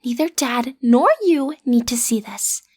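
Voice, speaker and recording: A cute, high-pitched teenage girl, acted by a woman, plays at being a supervillain in a roleplay drama. She is sassy and theatrical, speaking straight to her listener. Her menace is playful and rough around the edges, with an awkward, hesitant streak. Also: unhurried, darkish tone. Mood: flustered, bossy. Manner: theatrical, sassy, hesitant when breaking character.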